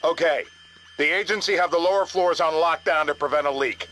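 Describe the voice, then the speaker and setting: A man's voice: on the high side, fairly quick, sharp tone, a little hiss or hum. An actor playing a businesslike male government agent giving instructions in a video game. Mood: urgent, businesslike.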